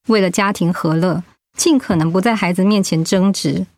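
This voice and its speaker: A woman's voice: lowish voice, normal speed, darkish tone, no echo, clean studio sound, close to an everyday voice. A woman acting a proud, stubborn middle-aged wife and mother in a radio drama, blunt and sardonic. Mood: bitter, resigned.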